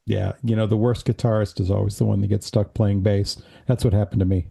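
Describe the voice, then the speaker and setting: Raspy-throated man hosting a chat. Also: on the low side, little pitch movement, brisk, mellow. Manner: friendly, leads the conversation. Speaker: podcast host. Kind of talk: casual chat.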